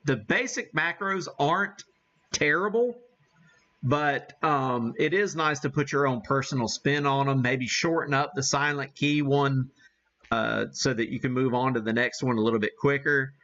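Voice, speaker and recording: A man's voice: mid-range voice, moderate pace, bright, forceful tone, lo-fi sound. An American man hosting a casual live radio demonstration, thinking aloud in a folksy way. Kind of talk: casual live-stream chat while operating.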